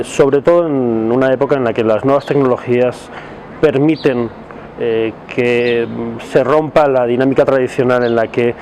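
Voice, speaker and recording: A man giving his opinion in a recorded message, speaking haltingly and deliberately as he searches for words. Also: medium pitch, darkish tone, slight background noise. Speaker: civil society commentator in a video message. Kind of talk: spoken opinion to camera.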